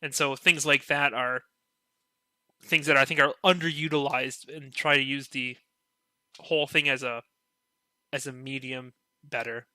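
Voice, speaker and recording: A man you'd hear on a hobbyist podcast, sharp-edged voice in a dry space, meandering eagerly through his point. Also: medium pitch, fairly even intonation, measured pace, pauses often, dry recording. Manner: rambling, peppered with filler "like". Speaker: podcast panelist. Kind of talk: casual discussion.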